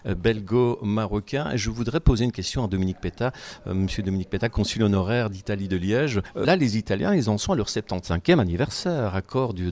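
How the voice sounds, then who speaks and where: A man's voice: on the low side, a little mellow. A man with a Belgian French accent hosting a live radio show and introducing guests in an announcing manner. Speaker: radio host. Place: live broadcast set in a museum. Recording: music bed behind the voice.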